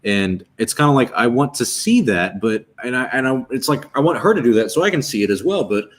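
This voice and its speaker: A man's voice: mid-range voice, lively intonation, fairly quick. A man in his twenties hosting a casual livestream, rambling and restarting as he reads chat and chats aloud. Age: 20s. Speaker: streamer host.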